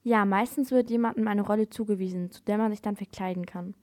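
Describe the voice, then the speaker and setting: A woman's voice: mid-range voice, fairly even intonation, fast, a little mellow. A young female youth radio reporter, a schoolgirl, talking in a scripted dialogue.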